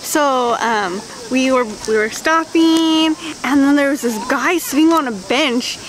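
A young woman in her twenties talks to a camera held at arm's length on a riverbank outdoors. Her voice is high and her chat is bubbly and animated. Faint insects chirp behind her. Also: measured pace, slight background noise, low-quality recording. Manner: bubbly and animated, with gasps and laughs. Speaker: travel vlogger. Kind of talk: casual chat.

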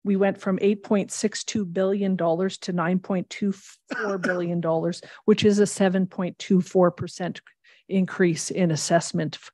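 Woman finance presenter, low and mellow, measured and explanatory, dry table-mic sound. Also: steady tone, no echo. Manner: measured, explanatory, reads long figures. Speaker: municipal finance staff presenting a budget. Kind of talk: formal budget presentation. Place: large council chamber.

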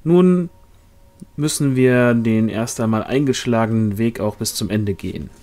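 A man's voice: medium pitch, unhurried, a little mellow, natural voice. A man voicing a good-natured, reassuring and determined rider in a fantasy audiobook. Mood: soothing.

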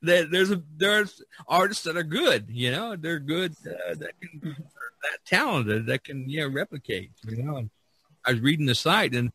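A middle-aged or older man hosting a casual podcast discussion, talking haltingly with lots of repetition. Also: a little high, expressive, sharp tone, slightly rough, no room sound. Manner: halting, repetitive, peppered with 'you know'. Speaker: podcast host.

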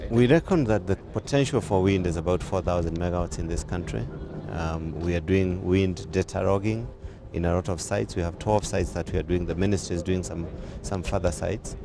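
A man's voice: deep voice, a little fast, gravelly, background noise. A middle-aged man from Kenya, a company executive, explaining plans in a businesslike way outdoors on a lapel mic. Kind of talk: outdoor news interview. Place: outdoors by a building.

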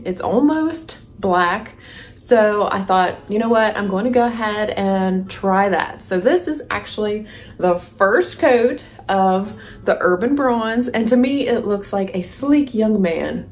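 A woman in her thirties chatting casually and encouragingly to viewers of her home vlog, recorded indoors at home. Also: medium pitch. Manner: encouraging, addresses viewers directly. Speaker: home decor and lifestyle vlogger. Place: rooms of a house, indoors. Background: background music.

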